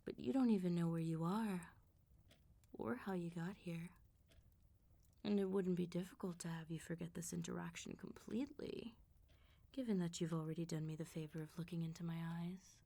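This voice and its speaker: A vampire mob boss played by a woman speaks in a low, dark, mellow voice, soft and close as in ASMR. She is controlled and smooth-talking. Her teasing calm carries a quiet threat. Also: moderate pace. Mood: coolly assessing.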